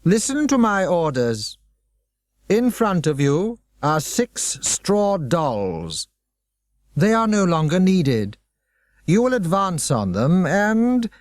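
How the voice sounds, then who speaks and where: A man voicing a cold, controlling headmaster who speaks in slow, measured menace. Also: high voice, sing-song, dry recording, clean studio sound, pitched above the performer's own voice, exaggerated voice. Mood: commanding.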